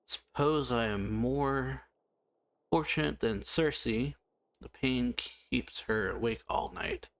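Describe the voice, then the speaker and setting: Gravelly, musing gameplay host. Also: male voice, mid-range voice, a bit bright, no room sound. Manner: slow, musing, reads text aloud with frequent hums. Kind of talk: casual let's-play commentary, reading game text aloud.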